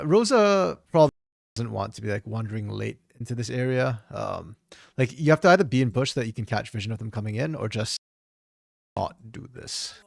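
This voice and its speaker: A man talks through a match replay on his own, his voice a bit hoarse and gravelly. He thinks aloud, often restarting mid-sentence, in a relaxed commentary style. The sound is dry and close, with no room around it. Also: medium pitch, no room sound. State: slightly hoarse. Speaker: esports caster doing a solo VOD review. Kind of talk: casual solo game analysis commentary.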